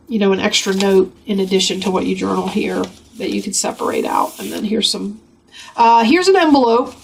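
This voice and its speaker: A woman with a gravelly voice from a cold, chatting casually as she flips through a craft journal. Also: medium pitch. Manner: chatty, rambling, with many fillers. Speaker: craft channel host.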